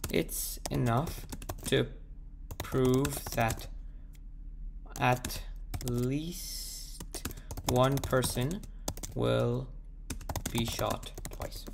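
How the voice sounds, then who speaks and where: A man with a sore, croaky throat, thinking aloud as he works through a math problem and slowing down as he types the proof. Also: medium pitch, fairly even intonation, noisy background. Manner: thinks aloud, slows down while typing. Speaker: math tutor. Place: at a computer, screen recording.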